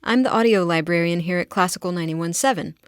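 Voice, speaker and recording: A woman's voice: on the low side, quick pace, clear, resonant tone, dry recording. A woman hosting a radio interview in a studio, asking curious, upbeat questions. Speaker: radio show host.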